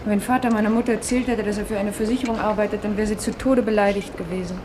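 A woman's voice: medium pitch, steady tone, fast talker, lightly acted. An actress playing a murdered man's guarded daughter in a 1950s German radio play, answering hesitantly. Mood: guarded, uneasy. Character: murdered man's guarded, working daughter in awkward awe of family. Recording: old radio recording with clear background noise.

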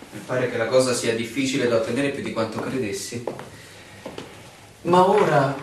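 A man's voice: medium pitch, natural voice. An actor playing a stubborn, intense male outsider in a radio drama, insistent and ironic in his questioning. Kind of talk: Italian radio drama acting. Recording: echoey room, distant mic, faint noise.

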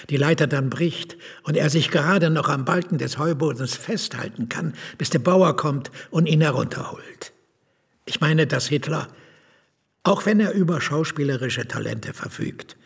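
An opinionated playwright argues deliberately in a radio play. The voice is extremely husky and gravelly, pitched a little high for a man. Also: exaggerated voice. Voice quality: very raspy, gravelly. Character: opinionated male playwright explaining his views.

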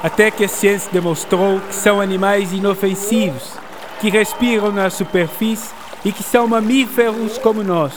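A man playing an affable, learned gentleman writer in a radio drama, explaining things in a genial, formal way. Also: high voice, steady tone, normal speed, noisy background, close to an everyday voice. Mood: didactic.

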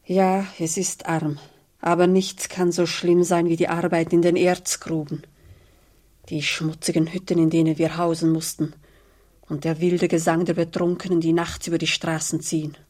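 A woman's voice: lowish voice, flat delivery, moderate pace, a little mellow, lightly acted. An actress playing a poor, pious migrant peasant woman in a German radio play, weary and plain-spoken. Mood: weary, bleak.

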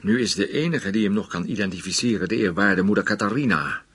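A methodical chief of detectives in a radio drama. His husky voice moves widely up and down in pitch. He is authoritative and insistent, used to giving orders. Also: lively intonation, fast talker, a bit bright. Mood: measured, probing.

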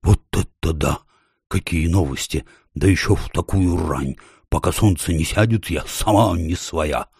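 A man's voice: deep voice, measured pace, darkish tone, slightly rough, dry recording, studio quality, full character voice. A man voicing a fussy, earnest female talking owl in a storybook, given to hooting. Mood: excited, helpful.

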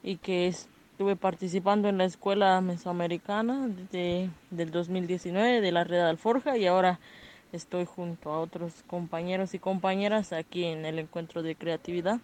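A woman's voice: lowish voice, steady tone, moderate pace, darkish tone. A woman from Guatemala with a Guatemalan accent, speaking as an organization's representative in an interview. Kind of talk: formal self-introduction in interview.

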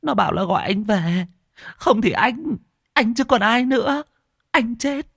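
A man's voice: very high voice, measured pace, vocal fry, fairly bright tone, no echo, studio quality, voice pushed up, full character voice. A man voicing a terrified young village wife who stammers in panic. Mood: panicked.

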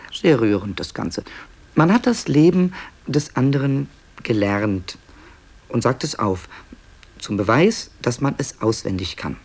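A man's voice: on the low side, vocal fry, husky, exaggerated voice. A man narrating a 1960s radio play as a dryly ironic, confiding author who hesitates and stammers. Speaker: narrator presenting tape recordings.

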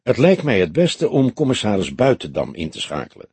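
An old police detective, played by a male audiobook reader, speaks with deliberate calm and dry wit. His voice is very husky and on the low side, recorded close and dry. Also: no room sound, full character voice. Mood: matter-of-fact. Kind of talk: audiobook character dialogue.

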